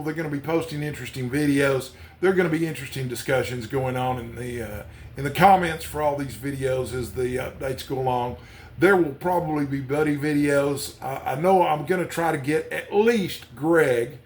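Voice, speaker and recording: A man hosting a book channel, talking casually and conversationally without a script, in a rambling way. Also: a little high. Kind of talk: casual unscripted book vlog.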